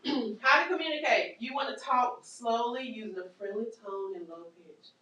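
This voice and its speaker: Woman trainer, loud and animated, teaching caregivers; roomy distant sound. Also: fairly high pitch, normal speed, lo-fi sound. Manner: animated, joking, acts out scenes, Southern-style casual grammar. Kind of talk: lively workshop teaching. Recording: echoey room from far off, faint noise.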